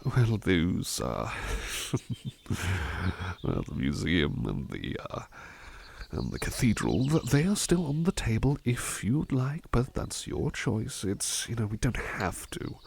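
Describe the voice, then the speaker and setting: A man playing a polite, attentive butler in an ASMR roleplay, speaking in a hesitant, courteous, gently rambling way. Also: on the low side, big pitch swings, slow, creaky, slight background noise, heavily acted.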